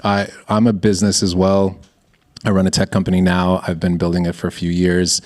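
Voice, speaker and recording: A man giving a conversational, thoughtful panel answer as a business founder, halting and circling back on his phrases. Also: low-pitched, completely flat delivery, a little mellow. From born in Ethiopia.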